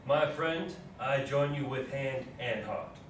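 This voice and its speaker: A man's voice: mid-range voice, slow, close to an everyday voice. A man playing William Clark, a practical army officer and explorer in his thirties, reading journal entries aloud in a stage reading. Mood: earnest. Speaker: local stage actor. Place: live readers theatre performance in an echoey indoor space. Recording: very echoey room, distant mic, faint noise.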